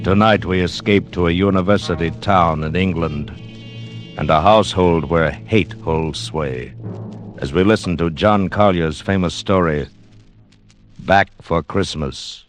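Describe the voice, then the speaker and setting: An actor playing a grave, menacing thriller narrator in a 1940s radio show, addressing the listener. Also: male voice, low voice, unhurried. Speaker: announcer. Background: music.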